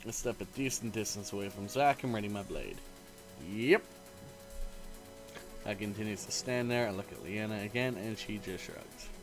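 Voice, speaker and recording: A man reading a visual novel's narration aloud as a gaming host, expressive and informal. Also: lowish voice, moderate pace. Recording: faint game music behind.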